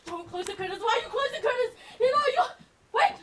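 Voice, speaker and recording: A woman playing a bitter, jealous dead soul trapped in hell, with theatrical, scornful, drawn-out delivery in a stage drama. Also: very high-pitched, animated, fairly bright tone, exaggerated voice. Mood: frantic, anguished. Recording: distant mic, faint background noise.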